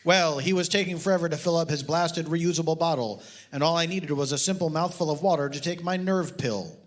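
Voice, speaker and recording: A man's voice: fairly high pitch, a little fast, lightly acted. A man voicing a mousy, nervous and impatient professor in a comic story. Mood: peevish, nervous. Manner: peevish.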